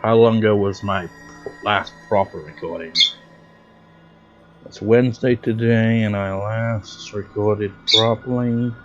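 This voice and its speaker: A man's voice: on the low side, steady tone, a little slow, lo-fi sound, music in the background. A man hosting a video game let's play, chatting in a rambling, self-deprecating way while still getting over a cold. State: recovering from a cold. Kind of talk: rambling casual commentary.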